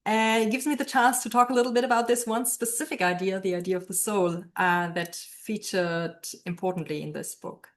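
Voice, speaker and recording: A woman's voice: medium pitch, steady tone, brisk, hardly any pauses. A woman speaking as an interviewed philosophy professor, explaining ideas in a thoughtful way and posing questions to herself.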